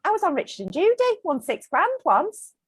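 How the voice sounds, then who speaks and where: A woman's voice: sits high, sing-song, brisk, dry recording. Frank, chatty woman business owner. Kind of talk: casual interview chat.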